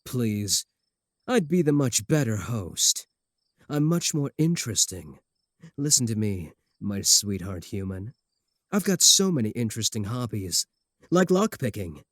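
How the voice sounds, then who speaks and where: A man's voice: fairly low pitch, big pitch swings, measured pace, dry recording. A man playing a cocky, obsessive, easily offended werewolf in love, boasting earnestly and throwing dry sarcastic jabs in a comedic ASMR roleplay. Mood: boastful wooing.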